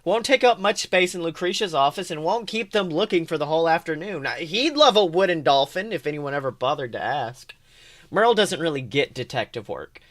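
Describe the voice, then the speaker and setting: Higher-voiced man reading fan fiction. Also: expressive, a little fast, fairly bright tone. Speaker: podcast host. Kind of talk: expressive narration, reading fan fiction aloud.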